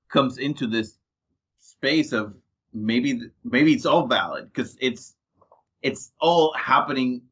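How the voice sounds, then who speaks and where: A man co-hosting a casual podcast, talking in an animated way, swearing freely and acting out his examples. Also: mid-range voice, slightly rough. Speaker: podcast co-host. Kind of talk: casual chat. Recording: dull lo-fi sound.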